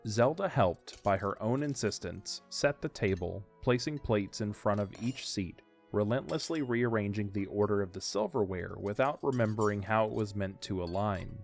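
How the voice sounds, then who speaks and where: A man's voice: lowish voice, normal speed, creaky, few pauses. A man narrating a scripted audio drama, with music behind his voice.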